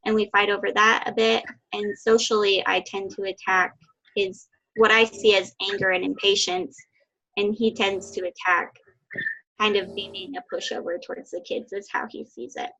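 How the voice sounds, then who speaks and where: Gravelly-edged bright young woman presenting. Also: flat delivery, moderate pace, highs cut off. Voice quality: high-pitched voice with a slight lisp. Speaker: student presenter. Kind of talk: class presentation. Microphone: video call.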